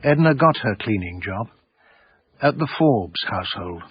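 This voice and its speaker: Husky, wry English narrator. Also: male voice, lowish voice, expressive, heavily acted. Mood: wry, sardonic. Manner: measured, literary, sardonic. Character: wry, cultured, obsessive small-time art dealer narrator. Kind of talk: first-person radio drama narration. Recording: dry, slightly muffled radio broadcast.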